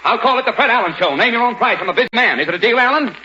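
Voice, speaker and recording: A man playing a brisk, blustering, dismissive program boss in 1940s radio comedy. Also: extremely high pitch, animated, pushed and bright, heavily acted. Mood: hurried, eager. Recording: noisy, dull vintage radio broadcast.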